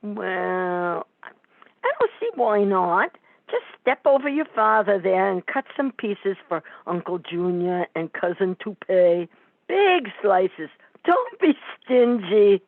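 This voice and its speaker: A tinny, phone-like, dry recording of a brassy mobster's wife, played by a woman. She is a sarcastic, scolding mother in a comic radio play, with slangy talk and a medium pitch. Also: very animated, slow, vocal fry, no echo, exaggerated voice. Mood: breezy.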